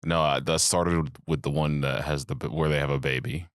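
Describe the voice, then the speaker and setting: A man's voice: a bass voice, fairly even intonation, moderate pace, no room sound, clean studio sound. A young man co-hosting a movie podcast, laid-back and joking, often trailing off mid-sentence. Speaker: podcast co-host. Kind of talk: casual movie podcast chat.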